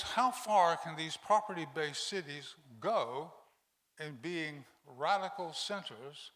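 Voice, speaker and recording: A man reading measured prepared remarks at a panel, with small stumbles. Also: fairly high pitch, a bit bright. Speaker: panel speaker. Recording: long reverb of a hall.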